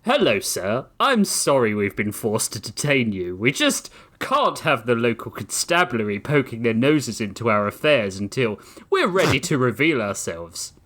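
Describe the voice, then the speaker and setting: A man's voice: medium pitch, very animated, moderate pace, few pauses, a bit bright. A man voicing a posh, overly polite male ape man with a monocle, in a put-on British accent. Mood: polite, apologetic.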